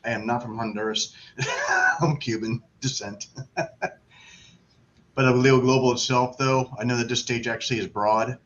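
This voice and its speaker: A man's voice: medium pitch, steady tone, normal speed. A man from Florida chatting casually as a guest on a livestream video call, brash, joking and self-deprecating. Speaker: guest on an online networking livestream. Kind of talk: casual chat. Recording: dull lo-fi webcam audio, loud background noise.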